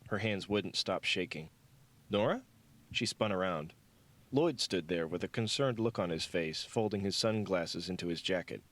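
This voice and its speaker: A man's voice: low-pitched, normal speed. A man reading scripted fiction as an audiobook narrator.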